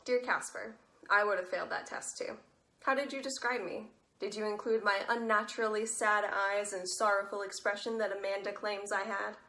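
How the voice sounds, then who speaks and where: A woman's voice: medium pitch, fairly even intonation, moderate pace, pushed and bright, poor audio. A young woman acting a teenage girl, wry and wistful, reading letters in a stage monologue. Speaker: stage actor.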